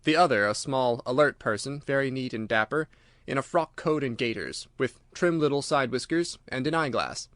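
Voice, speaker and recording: A man reading scripted audiobook narration in a measured, articulate, literary manner. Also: medium pitch, lively intonation, a bit bright, no echo, clean studio sound.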